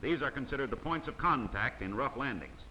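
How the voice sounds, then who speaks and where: A man narrating an old instructional film in a formal, measured way, his voice bright and lively in pitch. The soundtrack carries a faint hiss from an old film print. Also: poor audio. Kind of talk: scripted documentary narration.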